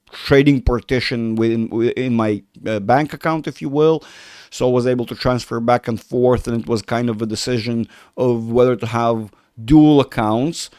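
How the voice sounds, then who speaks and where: A man from Israel whose first language is Russian talks loosely through a market livestream. He rambles, restarts often and gushes freely. His voice sounds smooth and resonant, and it is close and dry on the mic. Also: mid-range voice, darkish tone, no echo. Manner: rambling, self-correcting, effusive. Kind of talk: casual livestream chat.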